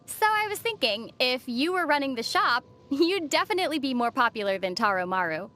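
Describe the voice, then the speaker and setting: A woman playing a gentle, thoughtful young female traveler in video game dialogue, speaking in a measured, soft-spoken way. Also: sits high, sing-song, heavily acted. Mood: gently teasing. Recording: faint music under the voice. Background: faint background music.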